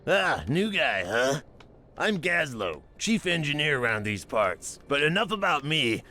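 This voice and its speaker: Brash goblin engineer, sharp-edged and swooping, slangy wise guy for a fantasy game. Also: a little high, very animated, unhurried, heavily acted. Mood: brash, bossy. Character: brash fast-talking male goblin chief engineer.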